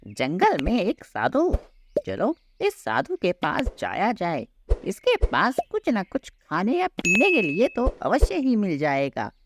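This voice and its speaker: A woman voicing a skinny, harassed village cow in a children's cartoon story, with plaintive, expressive delivery. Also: medium pitch, takes it slowly, noisy background. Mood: hopeful.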